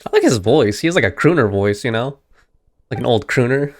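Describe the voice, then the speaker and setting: A woman's voice: rumbling low, lively intonation, brisk, darkish tone. A woman giving casual gameplay commentary with amused, reactive asides. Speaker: gaming streamer. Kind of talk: casual game playthrough commentary. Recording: game music behind.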